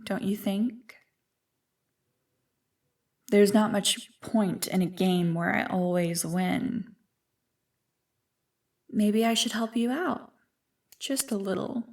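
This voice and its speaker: A woman's voice: medium pitch, a little mellow, distant mic. A woman playing an ancient, manipulative evil creature in an ASMR roleplay, teasing and condescending as she savors her words. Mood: bored, teasing. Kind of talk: ASMR roleplay, scripted monologue to the listener. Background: music.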